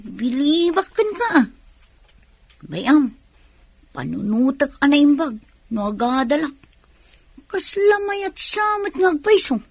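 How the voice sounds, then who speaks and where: Woman acting a shy hesitant young worker, high voice, dry radio drama sound. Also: sing-song, takes it slowly, creaky, pauses often, no room sound, full character voice.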